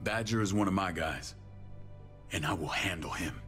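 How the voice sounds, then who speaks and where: A very deep, gruff commanding man. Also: normal speed, fairly bright tone. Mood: commanding, terse. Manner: blunt, clipped, profane, commanding. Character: gruff, hard-bitten commanding older brother, short-tempered. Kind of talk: naturalistic dramatic game acting. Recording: long reverb, music under the voice.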